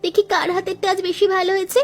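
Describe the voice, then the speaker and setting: A woman's voice: very high-pitched, level tone, racing, soft background music. A woman voicing a cheerful, eager young daughter-in-law in a cartoon.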